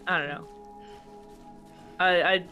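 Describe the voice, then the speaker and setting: A co-host who speaks English as a second language, rambling with many restarts in casual stream chat. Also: female voice, lowish voice, steady tone, slow, pushed and bright, faint music behind. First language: not English.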